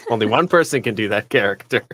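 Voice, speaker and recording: A man's voice: on the low side, animated, moderate pace, a bit bright, dry recording. A man chatting casually on a voice actors' panel, wry and anecdotal, recorded in a home space. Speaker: voice actor and retail manager.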